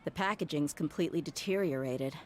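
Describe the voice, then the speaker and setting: A woman's voice: sits low, a little fast, lightly acted. An actress playing a tough, blunt, guarded young mercenary woman in a story-driven video game. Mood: wry, exasperated.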